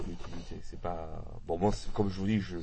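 A man interviewing a speaker, talking in a measured way with brief agreements. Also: sits low, little pitch movement, noisy background. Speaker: interviewer, researcher. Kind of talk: casual conversation.

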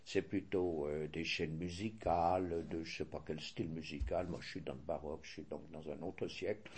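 An older man with a Swiss French accent answering interview questions in a measured, hesitant way, often restarting and correcting himself. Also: very deep voice, steady tone, darkish tone, a little hiss or hum.

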